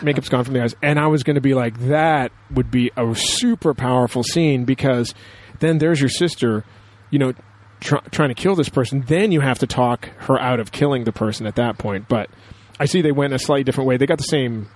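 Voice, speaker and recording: A man's voice: medium pitch, brisk, hardly any pauses. A man co-hosting a casual discussion show, talking in a rambling, enthusiastic, analytical way. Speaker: podcast host.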